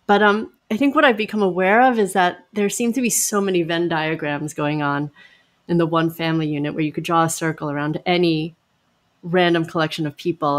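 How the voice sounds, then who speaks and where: A woman panel guest talking reflectively and articulately in a casual conversation. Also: low-pitched.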